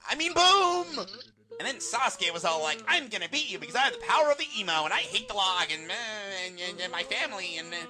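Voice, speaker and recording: A bright, swooping young ninja boy voiced by a man in a parody cartoon. He is naive and hyper, rambling like an excitable child. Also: high voice, quick pace, heavily acted. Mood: excited rambling. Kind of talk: comedic parody dub.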